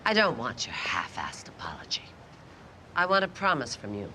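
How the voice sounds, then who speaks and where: A woman's voice: extremely low pitch, wildly swooping pitch, normal speed, pushed and bright, a little hiss or hum, heavily acted. A middle-aged woman playing an abrasive, sarcastic, domineering film actress in a period TV drama, hard-voiced, blunt and commanding. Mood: firm, demanding. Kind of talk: television period drama acting.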